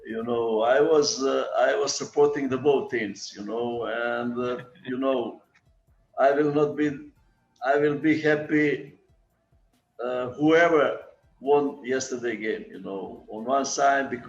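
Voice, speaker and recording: An older man from Serbia with a Serbian accent, a basketball coach answering interview questions in halting English full of "you know". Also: medium pitch, reverberant, dull audio, faint music behind. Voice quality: halting, filler-heavy non-native English, repeats "you know". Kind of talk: video interview.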